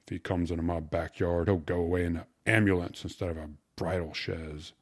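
A man's voice: low-pitched, steady tone, fairly quick. A man voicing a stout, hot-tempered father, brusque and threatening, in an audiobook. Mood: angry, menacing. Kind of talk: audiobook character voice.

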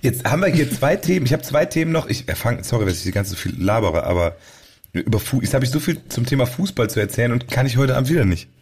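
A man's voice: mid-range voice, sing-song, fast talker, darkish tone, husky. A man chatting casually as a podcast host, talkative and rambling, often breaking off his sentences. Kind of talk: casual chat.

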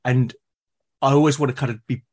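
Husky man from Britain, reflective podcast guest. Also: medium pitch, steady tone, fairly quick, darkish tone. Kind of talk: casual reflective conversation.

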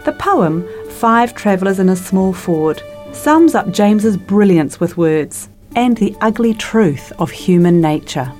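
A woman's voice: mid-range voice, lively intonation, unhurried, hardly any pauses, darkish tone, faint music behind. A woman hosting an author interview, chatty and effusive, trailing off and leaning on tag questions. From New Zealand. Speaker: podcast host and interviewer. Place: home interview.

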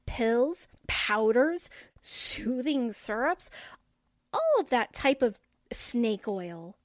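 A frank, chatty office manager in a forties radio play. Her voice is high and very lively, with emphatic delivery and a dry, close sound. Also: extremely slow, no echo, exaggerated voice. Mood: wry. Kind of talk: 1940s radio drama acting.

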